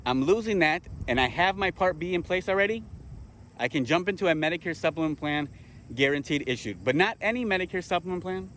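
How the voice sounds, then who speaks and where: Man, informal trainer for agents, slightly high voice, repetitive and self-correcting, faint outdoor noise behind. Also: animated, fairly quick, fairly bright tone. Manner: repeats himself, self-corrects, rhetorical questions; drills terms with check-ins like okay. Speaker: insurance agent teaching other agents. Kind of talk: casual spoken lesson.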